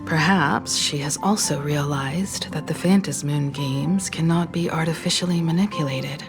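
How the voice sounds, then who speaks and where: An actress voicing a poised, mature woman mentor who speaks in a calm, composed and gently authoritative way. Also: deep voice, moderate pace, music in the background.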